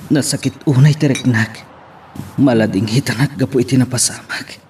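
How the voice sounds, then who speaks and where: A man's voice: mid-range voice, fairly even intonation, moderate pace, natural voice. A man with an Ilocano Filipino accent playing a remorseful, sorrowful husband in a brooding audio drama monologue. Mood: anguished, regretful. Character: remorseful, grieving husband brooding over his sins. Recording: faintly noisy track with ambience.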